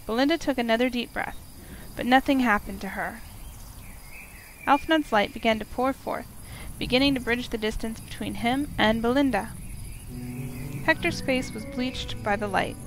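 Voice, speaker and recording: Woman, higher-pitched, scripted story narration, let's player reading aloud, prominent game music behind. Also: moderate pace, rough recording.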